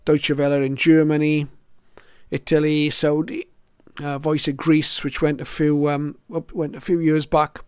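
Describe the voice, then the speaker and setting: A man's voice: a little high, level tone, a little slow, a little mellow, clean tone, no echo, studio quality. A middle-aged or older man giving a casual show-and-tell narration as a hobbyist, hesitant and reflective as he goes through items one by one. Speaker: shortwave radio hobbyist presenting his collection.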